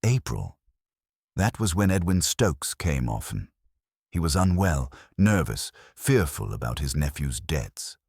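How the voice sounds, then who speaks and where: A troubled, weary widow, as a male narrator reads her. She speaks low and steadily in a detective story, anxious but composed. The voice is husky and close, with a dry sound. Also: darkish tone, dry recording, full character voice. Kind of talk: detective audiobook character reading.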